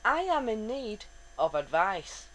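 A woman's voice: medium pitch, sing-song, slow, a bit bright. A woman voicing a formal, stiff male android officer who speaks precisely, without contractions.

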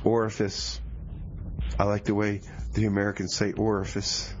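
A man's voice: lowish voice, completely flat delivery, moderate pace. A man hosting a community radio music show with casual, rambling DJ chat and frequent exclamations. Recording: muffled radio recording with noise.

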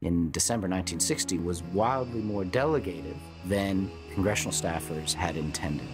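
Deep-voiced male expert. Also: normal speed, loud music behind the voice. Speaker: expert interviewee. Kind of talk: documentary interview commentary.

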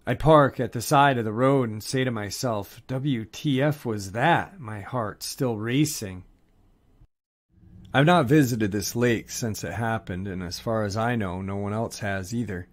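A man narrating listeners' first-person encounter stories in a steady, measured read. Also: lowish voice.

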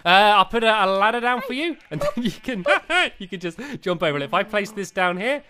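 A man's voice: high-pitched, a little fast. A man casually talking through a video game with a co-player, rambling with lots of fillers and restarts. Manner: rambling, filler-heavy, breaks into excited yelps. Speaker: gaming co-host. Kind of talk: casual gameplay chat.

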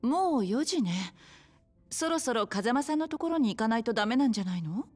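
Lively, high-voiced, motherly bar hostess. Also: fairly quick, breathy edge. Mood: relaxed, caring. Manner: easygoing, familiar, gently chiding. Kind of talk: Japanese video game drama acting.

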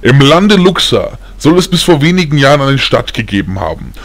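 A man voicing a caring, weary old grandfather in an audiobook, gentle and measured in his telling. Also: medium pitch, very animated, close to an everyday voice. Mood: solemn storytelling. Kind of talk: audiobook character acting.

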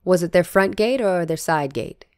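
A woman's voice: lowish voice, expressive, normal speed, no echo, studio quality, close to an everyday voice. A woman playing a male defence lawyer who presses a witness with leading questions in a courtroom dramatization. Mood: insistent, probing.

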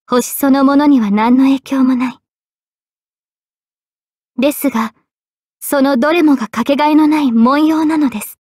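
High, poised young sword-guardian maiden. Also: female voice, darkish tone, no room sound, studio quality. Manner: poised, polite, measured delivery. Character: young female holy-sword guardian spirit, regal, gentle, devoted. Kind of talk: Japanese anime game voice acting. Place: studio. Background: faint background music.

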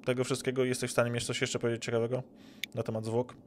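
A man playing a tabletop role-playing game, casually describing his actions with hesitant pauses. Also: mid-range voice, monotone, a little hiss or hum. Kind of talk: casual first-person game play.

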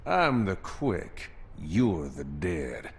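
A man voicing a cocky, gruff cowboy gunslinger in a video game, with a laconic American Southern drawl. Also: low-pitched, sing-song, extremely slow, slight background noise, exaggerated voice. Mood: cocky, cool. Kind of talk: video game character voice lines.

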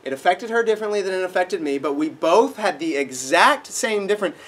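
Young male pastor, lively sermon voice, slightly nasal from a cold, hall stage. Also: high voice, fairly quick. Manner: animated, acts out voices in stories. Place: dark auditorium with projected screen.

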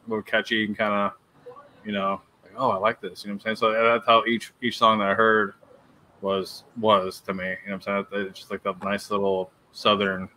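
Low, flat, bright-toned man co-hosting. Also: a little hiss or hum, rough recording. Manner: loose and filler-heavy, keeps asking "you know what I'm saying". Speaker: co-host interviewer. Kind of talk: casual interview chat. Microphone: webcam on a video call.

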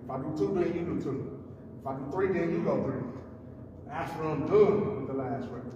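A man's voice: a little high, normal speed, muffled recording, background noise. A man coaching a workout partner in a casual, laid-back way, explaining each drill step by step. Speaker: fitness coach. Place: large indoor gym. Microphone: far camera. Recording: echoey gym from far off.

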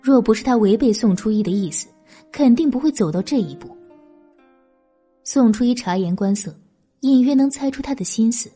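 A woman's voice: on the high side, animated, normal speed, warm tone, husky, faint music behind. A woman reading scripted dramatic narration of a historical novel as an audiobook narrator.

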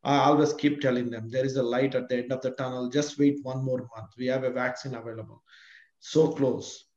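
A man's voice: medium pitch, fairly even intonation, brisk, darkish tone. A man speaking as a kidney specialist on a video call, encouraging and reassuring. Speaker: nephrologist. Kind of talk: panel discussion. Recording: roomy, dull video call audio.

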